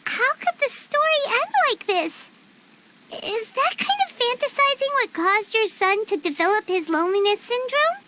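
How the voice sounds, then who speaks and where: Very high-pitched, excitable little fairy girl. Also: moderate pace, background noise. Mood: dismayed, concerned. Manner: dramatic, refers to herself in third person. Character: tiny excitable fairy-like girl companion, childlike. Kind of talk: video game voice acting.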